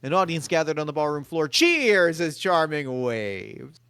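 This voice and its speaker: A man narrating screenplay stage directions in a table read, brisk and matter-of-fact. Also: on the high side, big pitch swings, fairly bright tone, smooth.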